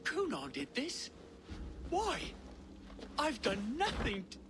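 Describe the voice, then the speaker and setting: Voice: mid-range voice, huge pitch swings, slow pace, fairly bright tone, slight background noise, heavily acted. A man in a video game playing an earnest, bewildered young nobleman who speaks in formal, old-fashioned phrases. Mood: weak, bewildered. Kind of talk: video game dialogue acting.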